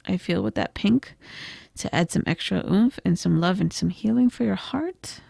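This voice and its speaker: A woman losing her voice gives a gentle, reflective tarot reading, with frequent "I feel like" and "kind of". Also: lowish voice, expressive, normal speed, creaky, slightly rough, no room sound, clean studio sound. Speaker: tarot reader. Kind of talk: pick-a-card tarot reading.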